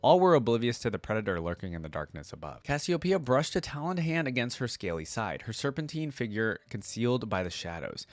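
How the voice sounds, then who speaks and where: A man's voice: mid-range voice, very animated, a little fast, hardly any pauses, no room sound. A man hosting a reaction video, reading a story aloud expressively and adding casual asides of his own.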